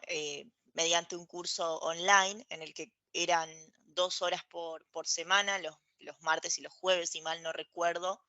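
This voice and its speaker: Bright, dry-sounding woman. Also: on the low side, no room sound. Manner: explanatory, tag questions. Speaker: podcast guest. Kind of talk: casual conversation, explaining. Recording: dry, dull lo-fi audio.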